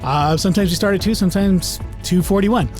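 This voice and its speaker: A man's voice: high voice, a little fast, a little mellow, faint music behind. A man hosting a casual show, loose and teasing, joking with his co-host. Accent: American. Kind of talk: casual chat.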